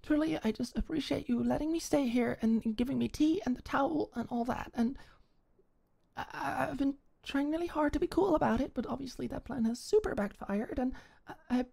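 A young woman in an ASMR roleplay, talking shyly and stammering as a flustered neighbor, sometimes dropping to a soft whisper. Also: high-pitched, a little fast, creaky. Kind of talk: ASMR roleplay, one-sided dialogue.

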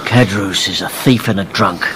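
A man's voice: low-pitched, moderate pace, noisy background, natural voice. A man playing a domineering, calculating schemer in a radio drama, with a controlling, impatient manner. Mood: commanding, impatient. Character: scheming, domineering male conspirator, coolly calculating.

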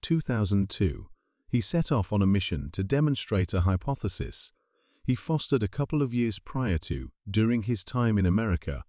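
A man reading a scripted documentary narration in a steady, even voice-over. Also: lowish voice, no echo, clean studio sound. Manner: steady, even reading of garbled paraphrased text.

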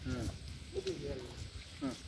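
A man's voice: drawn-out words, noisy background. A young man filming as he walks through a mangrove forest, asking short curious questions in casual chat. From Bangladesh. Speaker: vlogger filming a fishing trip. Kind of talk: casual chat while walking. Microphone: handheld phone camera.

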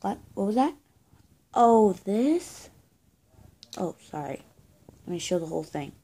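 A young adult woman doing a soft-spoken, improvised roleplay as a friendly good witch of high-school age, talking casually and haltingly to the viewer and often dropping to a near-whisper. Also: very animated, husky. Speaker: roleplay video creator. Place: small indoor room, plain walls. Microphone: phone or webcam at arm's length.

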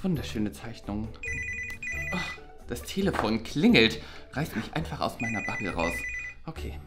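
Very low-pitched, grumbling, work-shy teacher played by a woman. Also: sing-song, normal speed, over loud music. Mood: dreamy, interrupted. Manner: grumbling, reluctant, theatrical. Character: lazy, easily annoyed teacher avoiding work. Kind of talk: comedy sketch acting.

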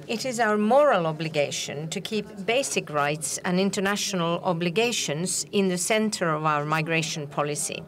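A woman interpreting a conference speech simultaneously, with frequent hesitations and filler sounds as she renders it. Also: fairly low pitch.

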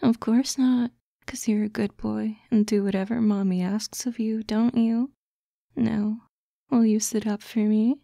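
A doting, protective fox-girl girlfriend, played by a woman, coaxes and gently teases in an intimate ASMR roleplay. Her voice is soft and breathy, with a gentle, even melody and a touch of creak. She speaks very slowly, close to the mic. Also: fairly high pitch, no echo. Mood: coaxing, teasing. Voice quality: soft, breathy, often whispering. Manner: slow, coaxing, cooing. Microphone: close, dry microphone.

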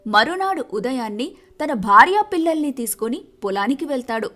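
High, bright-voiced woman storyteller. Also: very animated, moderate pace, soft background music. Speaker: story narrator. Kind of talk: scripted narration of a moral folk tale.

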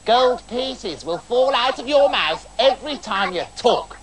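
A man voicing three tiny, excitable magical little men who squeal and coo in a storybook tale. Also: a little slow, crowd noise. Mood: eager. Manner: squeaky, ooh-ing, giddy.

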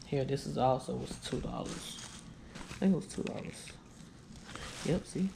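Tired woman vlogger, gravelly low fry, casual y'all chat, faint room noise. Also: moderate pace. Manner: chatty, tired, addresses viewers as y'all. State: weary. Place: living room, on couch, indoors. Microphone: handheld camera at close range.

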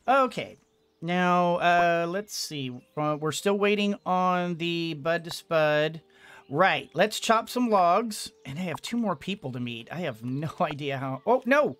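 Higher-voiced man muttering through gameplay. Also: animated, unhurried, fairly bright tone. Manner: thinking aloud, muttering to himself. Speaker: let's play host. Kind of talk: casual gameplay commentary. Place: small indoor home room. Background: game music.

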